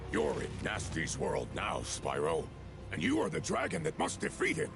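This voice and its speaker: A gravelly old dragon elder. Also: male voice, fairly high pitch, huge pitch swings, moderate pace, full character voice. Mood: solemn. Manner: solemn, instructive. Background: game music.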